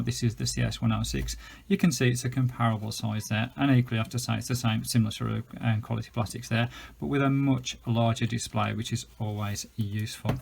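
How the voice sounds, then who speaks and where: A man's voice: medium pitch, gravelly, darkish tone, slight background noise. A British man giving a chatty, enthusiastic, unscripted gadget review, explaining things as he goes. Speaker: product reviewer.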